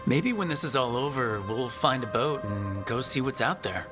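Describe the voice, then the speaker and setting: A man playing a steady, supportive hero and team leader in a video game, speaking in a calm, reassuring way. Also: medium pitch, moderate pace, close to an everyday voice. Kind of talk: video game dialogue acting. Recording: clear game music behind the voice. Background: game music.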